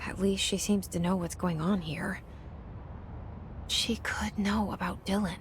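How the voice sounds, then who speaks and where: Woman voicing a young game heroine, guarded, terse, determined, mid pitch, faint background hum. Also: fairly even intonation, measured pace, lightly acted. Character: determined young woman searching for her brother. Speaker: game protagonist. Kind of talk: video game dialogue and inner monologue.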